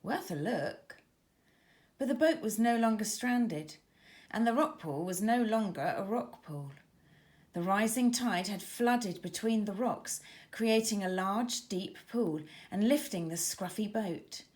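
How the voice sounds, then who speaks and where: A woman narrating a scripted children's story in a dramatic, expressive manner. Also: mid-range voice, measured pace. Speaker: author narrator.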